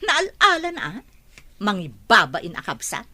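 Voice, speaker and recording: A woman's voice: medium pitch, huge pitch swings, a little slow, sharp tone, full character voice. A woman acting a haughty, unforgiving, well-off young woman in a radio drama. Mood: smug, disdainful.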